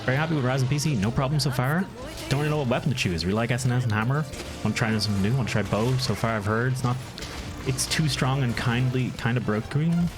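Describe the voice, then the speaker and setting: A relaxed Irish man with a Meath accent talks through his gameplay at a mid-range pitch, wandering off mid-sentence and picking the thought back up. Clear game music plays behind him the whole time. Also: fast. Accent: Irish, County Meath. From Ireland. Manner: easygoing, rambling, answers chat, frequent restarts. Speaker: game streamer. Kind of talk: casual live-stream chat while gaming.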